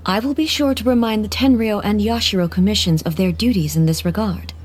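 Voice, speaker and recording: A woman playing a dignified female ruler deity in a video game, formal, measured and resolute. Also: medium pitch, a little mellow, natural voice. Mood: solemn, resolute. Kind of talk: video game character acting.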